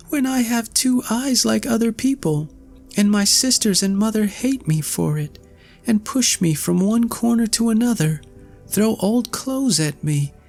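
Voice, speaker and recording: A man's voice: sits high, vocal fry, a little mellow, pitched above the performer's own voice, heavily acted. A man voicing a meek, hungry young girl who pleads in a plaintive voice, in a read-aloud fairy tale.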